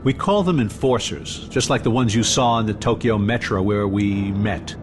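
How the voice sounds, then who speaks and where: Male actor as a villainous scientist, suave, fanatical, patronising, deliberate delivery, audio drama, prominent music underneath. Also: lowish voice, close to an everyday voice. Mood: proud, lecturing. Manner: patronising, theatrical, deliberate explaining.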